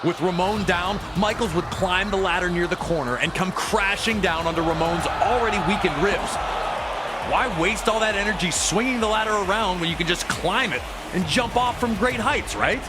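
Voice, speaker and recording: A man's voice: high-pitched, normal speed, noisy background. A man delivering scripted, documentary-style sports narration in a dramatic storytelling manner, as a wrestling commentator. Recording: loud music behind the voice. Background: loud music.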